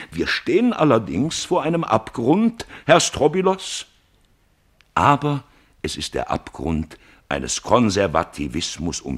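A man's voice: a bass voice, husky, full character voice. An actor playing a scheming, rhetorical male archpriest in a 1950s radio play, declaiming and swelling into emphatic outbursts.

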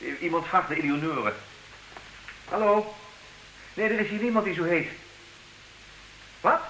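A suave, stubborn gentleman in a comic radio play. His delivery swings widely in pitch, formal and teasingly polite. The recording is old, with an audible hiss. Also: expressive, a little slow, exaggerated voice, rough recording. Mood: flustered on phone. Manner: mock-courteous, formal, teasing.

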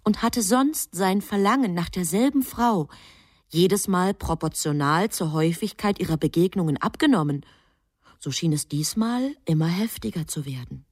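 A woman narrating a German radio drama in a sober, measured, literary manner. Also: on the low side, expressive, no room sound, clean studio sound.

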